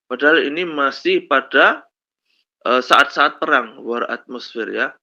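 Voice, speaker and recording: A male lecturer with a slight cold, telling stories slowly with many fillers in a lesson on Islamic law. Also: medium pitch, bright, forceful tone. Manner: slow storytelling with many fillers and "kan begitu ya". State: has a cold, coughs. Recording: dull lo-fi recording.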